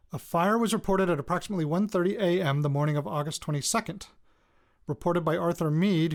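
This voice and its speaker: A man's voice: a little high, close to an everyday voice. A man with an American accent playing a wry radio reporter in an audio drama, rambling hesitantly into his recorder and interrupting himself. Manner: hesitant, self-interrupting, wryly joking. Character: rattled, wry young man, radio reporter returning home.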